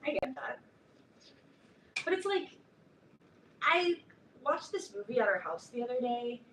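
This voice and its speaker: A woman in a staged reading playing an opinionated, excitable teenage fan club girl, chatty and emphatic. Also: a little high, measured pace. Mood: earnest, worried. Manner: chatty, emphatic, peppered with like and literally. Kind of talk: staged reading of a play, naturalistic dialogue. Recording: roomy, distant mic, faint noise.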